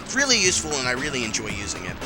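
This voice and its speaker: A man's voice: mid-range voice, huge pitch swings, very fast, rough recording. A man with a nasal, under-the-weather voice hosting a casual gameplay commentary, rambling with lots of fillers. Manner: rambling with many fillers and restarts. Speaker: let's play host. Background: game music.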